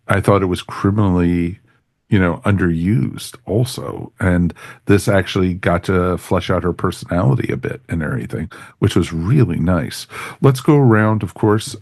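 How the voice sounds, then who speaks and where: A man's voice: sits low, gravelly, darkish tone, no room sound, clean studio sound. A man hosting a casual fan review podcast, speaking in an enthusiastic, agreeable way. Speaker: podcast host.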